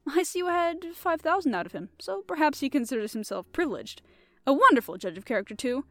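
A cool, mischievous gentleman adventurer from an old storybook , read by a woman. He is placid and politely mock-innocent, with lively rises and falls. The sound is close and dry. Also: high voice, fast, no echo, voice pushed up, full character voice. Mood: placid, genial.

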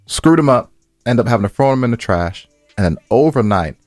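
A man's voice: medium pitch, expressive, a little fast, darkish tone, no echo, faint music behind. A man co-hosting a podcast, telling stories casually in slangy speech and repeating phrases for emphasis. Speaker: podcast co-host. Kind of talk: casual banter and storytelling.

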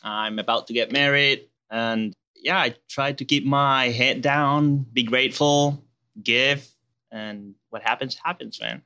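A man in his late twenties with a Spanish and Venezuelan accent, a relaxed guest chatting casually outdoors. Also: medium pitch, unhurried, clear, resonant tone. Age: 20s. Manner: laid-back, relaxed and chatty, calls people man.

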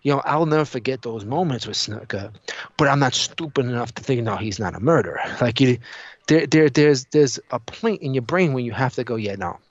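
A man chatting casually on a podcast, telling stories in an animated way, acting out dialogue and swearing freely. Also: mid-range voice, fairly quick, dry recording, dull audio. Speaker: podcast co-host. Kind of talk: casual chat, animated storytelling.

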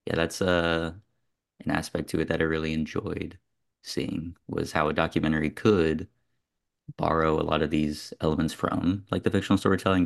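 Male host, casual film chat, very even low register, reflective and loosely discursive. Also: creaky. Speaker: podcast host.

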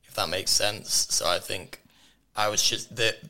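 A young man hosting a casual radio chat show from a studio, his voice hoarse and worn, teasing and laughing often. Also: on the low side, steady tone, a little slow, pushed and bright. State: hoarse, lost voice. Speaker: radio host. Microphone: studio microphone.

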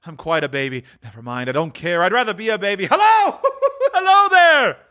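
A man's voice: fairly high pitch, lively intonation, quick pace, bright, forceful tone, pitched above the performer's own voice. A man voicing a reformed old miser in a dramatic storybook reading, exclaiming fervently with emphatic repetitions. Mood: gleeful excitement. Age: older. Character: reformed old miser, frantic, pleading, then childishly joyful. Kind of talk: dramatic audiobook character reading.

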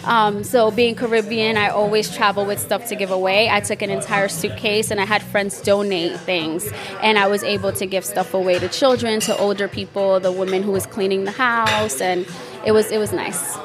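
A woman's voice: medium pitch, fairly even intonation, normal speed, over music. A woman with a Dominican accent, a podcast guest, casually and frankly telling a travel story in a bar. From Dominican Republic.

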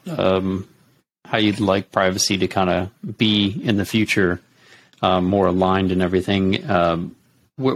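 Low-voiced hesitant male host. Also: a little hiss or hum. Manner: hesitant, many ums and restarts. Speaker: podcast host. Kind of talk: casual interview.

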